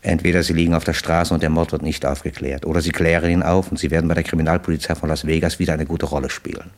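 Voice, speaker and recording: Low male voice, calculating crime boss, polite, coolly threatening, vintage radio play. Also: level tone, fast talker. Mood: businesslike. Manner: courteous, businesslike, coolly menacing. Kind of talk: 1960s German radio play acting. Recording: dry 1960s radio studio recording.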